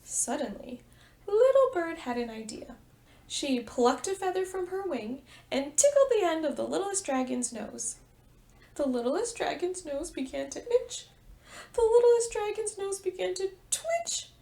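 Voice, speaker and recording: A woman preschool teacher doing an expressive storytime read-aloud, speaking directly to young children. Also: very high voice, a little slow, vocal fry. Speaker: preschool teacher reading a picture book.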